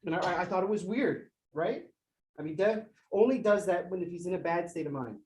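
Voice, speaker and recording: A man's voice: sits high, fairly quick, a little mellow, natural voice. An actor playing a shaken young man who stammers as he retells a violent fight, in a stage play. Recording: roomy, distant from mic.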